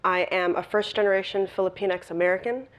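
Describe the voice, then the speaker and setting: A woman's voice: on the low side, steady tone, fast talker, dull audio. A woman from Los Angeles introducing herself on a panel in a direct, confident way.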